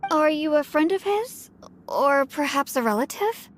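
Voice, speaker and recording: A woman's voice: sits high, animated, measured pace, a little hiss or hum. An actress voicing an anxious, pleading mother searching for her son in a game.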